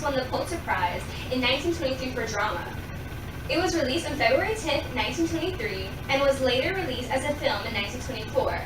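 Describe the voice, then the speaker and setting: A woman playing an informative 1920s arts reporter, reading a script on an amateur radio show. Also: high voice. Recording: echoey room, distant mic, clear noise.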